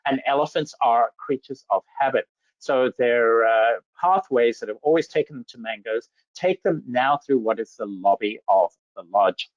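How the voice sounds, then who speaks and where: A man's voice: medium pitch, normal speed, no echo. A man from Zambia giving an enthusiastic slide presentation about safari travel, full of superlatives. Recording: dull lo-fi dry audio.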